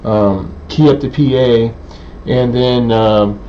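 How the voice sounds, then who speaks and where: Halting man, dull lo-fi recording. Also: medium pitch, fairly even intonation, mellow. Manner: halting, hesitant delivery with many pauses. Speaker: presenter, radio hobbyist. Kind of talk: informal technical demonstration. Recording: dull, lo-fi sound with faint background noise.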